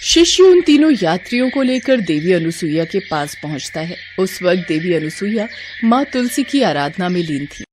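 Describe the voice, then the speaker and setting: A woman's voice: fairly low pitch, big pitch swings, normal speed, a little mellow, slight background noise. A woman narrating a scripted devotional story as its storyteller.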